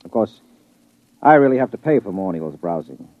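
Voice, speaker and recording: A man's voice: low-pitched, big pitch swings, normal speed, no echo. A man playing a rambling, apologetic poet in a 1950s radio drama. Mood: sheepish. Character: sheepish male poet, chatty and guilty. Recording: thin phone-like old radio sound.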